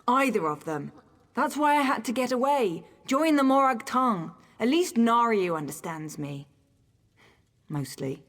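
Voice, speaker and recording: A woman's voice: on the high side, big pitch swings, unhurried. An actress playing a headstrong young noblewoman training as an assassin in a video game, speaking with stubborn earnestness. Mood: wounded. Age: young adult. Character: headstrong young noblewoman turned assassin's apprentice, grieving, vengeful.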